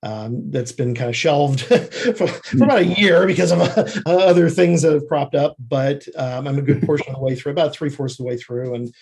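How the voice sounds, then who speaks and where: A man's voice: on the high side, moderate pace, gravelly, hardly any pauses, a little mellow, slightly rough. A man speaking as an interview guest, explaining his writing work in a conversational way. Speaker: guest screenwriter and fiction writer.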